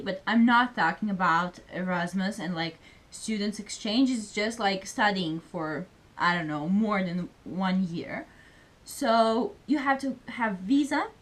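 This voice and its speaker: Young woman talking to camera, chatty, says like a lot, middle-pitched voice. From Serbia. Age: young adult. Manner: chatty, many fillers, speaks English as a second language. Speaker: student vlogger. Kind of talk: casual vlog chat.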